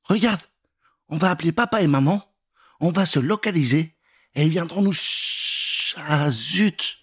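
Man as cautious young girl, polite, responsible, raised pitch, storybook delivery. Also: lively intonation, a little slow, a bit bright, husky, no room sound, clean studio sound, full character voice. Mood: reassuring. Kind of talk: children's Halloween storytelling.

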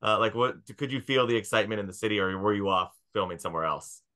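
A man in his thirties hosting a podcast, asking casual questions with halting starts, over a video call. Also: lowish voice, sharp tone. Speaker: podcast host.

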